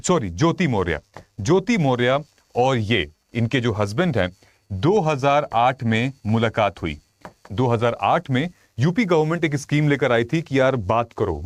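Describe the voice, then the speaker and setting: Man, mid-pitched voice, dry close sound, informal explainer, conversational storytelling, frequent check-in tags. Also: no echo. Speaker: UPSC exam coach and video host. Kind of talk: informal explainer commentary to viewers.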